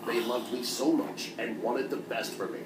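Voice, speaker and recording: A man playing a kid who has a grown man's deep voice, narrating a comic storytime in a deadpan, mock-solemn way. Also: normal speed, roomy sound, very noisy, close to an everyday voice. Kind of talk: comedic storytime animation narration.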